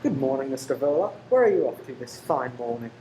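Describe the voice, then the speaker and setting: A woman's voice: extremely low pitch, sing-song, a little fast, warm tone, slight background noise, low-quality recording. A woman playing a cheeky, chatty undercover detective posing as a greengrocer's man in an amateur radio play. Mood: breezy.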